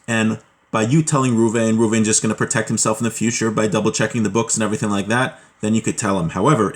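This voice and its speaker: Man explaining laws from a Hebrew text. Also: fairly low pitch. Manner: reads Hebrew passages, then explains them plainly in English; relaxed, with frequent fillers and self-corrections. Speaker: Torah teacher.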